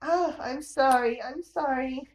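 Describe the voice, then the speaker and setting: A woman's voice: sits high, takes it slowly, noisy background, voice pushed up. A woman voicing a cheeky, big-hearted young boy who talks in a brash, folksy, playful way. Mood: frightened, pleading.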